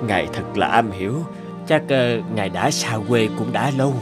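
A man's voice: fairly low pitch, normal speed, over loud music, lightly acted. A man playing a humble, deferential old village innkeeper in a cartoon fairy tale, speaking in a hesitant, polite, servile way. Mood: timid, polite.

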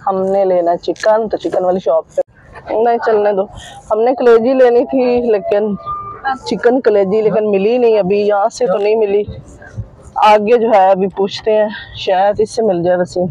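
A woman's voice: mid-range voice, fairly even intonation, a little slow, warm tone, no echo, muffled recording. A middle-aged woman vlogging casually from inside a car, talking straight to her viewers in a chatty way, filming on a phone held at arm's length. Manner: chatty, addresses viewers directly, repeats filler phrases. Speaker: family vlogger. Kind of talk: casual vlog narration. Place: inside a car in a busy market street. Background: faint traffic and vehicle noise.

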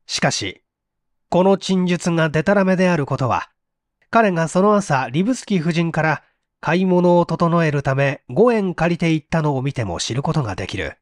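A man's voice: on the high side, lively intonation, moderate pace, dry recording, clean studio sound. A man reading a mystery story aloud as dramatic scripted narration. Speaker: audiobook reader.

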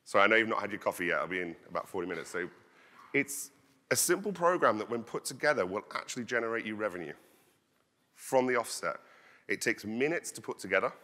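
A man in his thirties giving an energetic conference talk, projecting his voice as he walks the stage on a headset mic. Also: lowish voice, fast talker. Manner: loud, projecting voice. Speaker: conference speaker. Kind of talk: conference presentation. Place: large conference hall stage. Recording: long hall reverb.

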